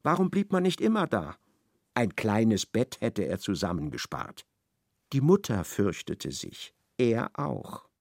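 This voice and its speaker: A man narrating a radio play in a measured, ironic storytelling manner. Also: lowish voice, big pitch swings, vocal fry, no echo, clean studio sound.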